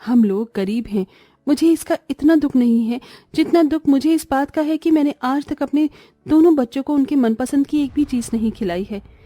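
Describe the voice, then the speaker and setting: A woman's voice: high voice, moderate pace, mellow, no room sound, lightly acted. A woman voicing a caring, troubled young mother in a poor household, earnest and plaintive, in a cartoon. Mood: worried, sad. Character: anguished, caring young mother and daughter-in-law in poverty.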